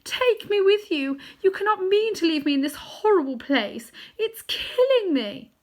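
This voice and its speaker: A woman reading aloud, voicing a weakened witch queen who pleads in a faint, drawn-out voice. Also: extremely high pitch, very animated, voice pushed up, exaggerated voice. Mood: pleading. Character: fading witch queen, proud but weakened.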